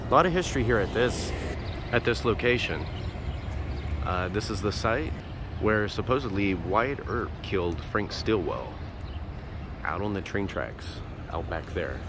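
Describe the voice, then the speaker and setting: A man vlogging as he walks a city street, narrating a casual tour in an easygoing, rambling way with lots of fillers. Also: low voice. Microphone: handheld camera while walking. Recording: outdoor street noise and traffic. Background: street traffic.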